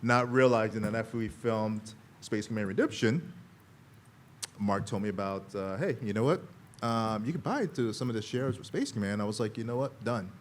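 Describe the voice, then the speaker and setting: Deep-voiced man rambling on a panel. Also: lively intonation, normal speed, a little hiss or hum. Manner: rambling, quotes himself. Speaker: actor and panel guest. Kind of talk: casual panel talk. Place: convention panel room.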